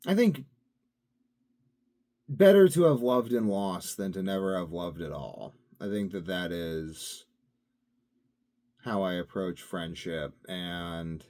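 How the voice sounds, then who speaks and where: A man with a somewhat low voice that swings widely up and down as he talks. He rambles casually to camera in his own daily video, musing aloud, drifting between thoughts and leaning on fillers. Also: mellow. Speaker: vlogger.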